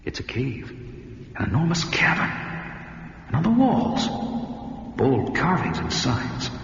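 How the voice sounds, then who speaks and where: An actor playing a gruff, vengeful barbarian hero who narrates his own tale in dramatic radio-play style. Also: medium pitch, wildly swooping pitch, slow, dull audio, heavily acted. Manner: grave, dramatic first-person narration.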